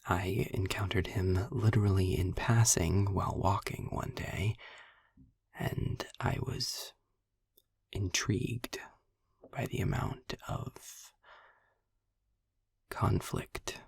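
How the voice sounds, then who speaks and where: A sardonic demon acted by a man murmurs close to the mic in a very husky, gravelly half-whisper. He is unhurried and darkly amused, choosing ornate words with care and leaving dramatic pauses. The sound is dry and intimate, like a scripted audio roleplay. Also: fairly even intonation, dry recording, full character voice. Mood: wry, intrigued. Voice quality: low, breathy, gravelly near-whisper. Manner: sarcastic, wordy, deliberate storytelling with dramatic pauses. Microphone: close microphone in a dry, quiet space.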